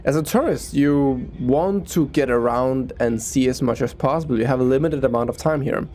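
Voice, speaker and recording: Danish man with a mellow voice. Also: medium pitch, normal speed. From Denmark. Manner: earnest, informal explaining. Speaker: transit vlogger. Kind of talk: informal explainer vlog.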